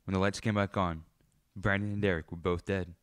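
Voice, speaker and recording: A low-pitched male narrator acted by a man tells a radio murder mystery as the killer himself. The voice is deep and recorded dry, with scripted storytelling delivery. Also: flat delivery, brisk, a bit bright, smooth, no room sound. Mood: grim storytelling.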